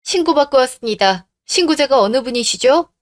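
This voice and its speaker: A woman's voice: high voice, lively intonation, fairly quick, dry recording. Radio drama: woman plays businesslike cop, formal, firm, dry recording. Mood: official, firm. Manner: formal, matter-of-fact. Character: firm, businesslike police officer. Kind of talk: radio drama story acting.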